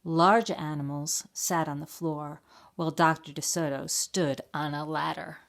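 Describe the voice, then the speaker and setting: A woman's voice: low-pitched, steady tone, unhurried, gravelly. A woman reading a picture book for a library storytime, explaining and asking questions as if to young children.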